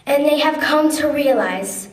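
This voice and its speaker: A distant, echoing recording of a young woman with a high voice. She reads formal oratory aloud as a student at a public event. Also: expressive, a little slow. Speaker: student reader. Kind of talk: dramatic reading of a historic speech. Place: large echoey room at a public ceremony. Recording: echoey hall, distant microphone.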